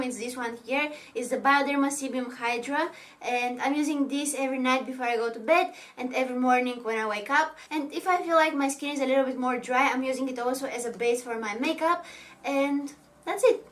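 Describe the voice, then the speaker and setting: A woman's voice: high voice, fairly quick, fairly bright tone. A woman from Bulgaria with a Bulgarian accent, speaking English as a second language in a casual, bubbly question-and-answer chat as a makeup vlogger.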